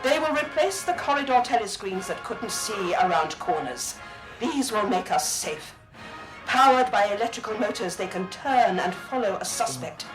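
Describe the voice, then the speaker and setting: A woman playing a zealous, bossy exercise instructor of an authoritarian state in a radio drama, brisk and hectoring. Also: medium pitch, lively intonation, music in the background, natural voice, rough recording. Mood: devout pride. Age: 30s.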